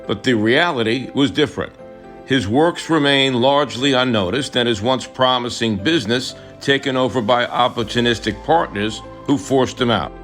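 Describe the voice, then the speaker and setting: A man's voice: mid-range voice, normal speed, a bit bright, faint music behind. A man narrating a scripted radio drama, setting scenes and introducing characters.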